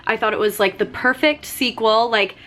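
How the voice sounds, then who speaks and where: A woman in her twenties chatting casually while doing her makeup, full of "like" and "um", her voice slightly hoarse from getting sick. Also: a little high, moderate pace, fairly bright tone. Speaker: vlogger. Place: sitting on a fur rug at home.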